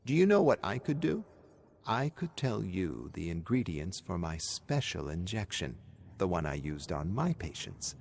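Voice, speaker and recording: A man's voice: deep voice, very animated, unhurried, vocal fry, darkish tone, slight background noise, exaggerated voice. A man voicing a deranged, zealous woman in an asylum, rambling conversationally and menacingly in a horror story. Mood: gleefully menacing. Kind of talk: horror audiobook character voice.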